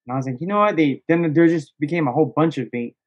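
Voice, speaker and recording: A man telling a story casually as a podcast guest, in a slangy, excitable way with plenty of swearing. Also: fairly high pitch, fast, dull audio. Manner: slangy, excitable, profanity-laced, peppered with "bro" and "dog".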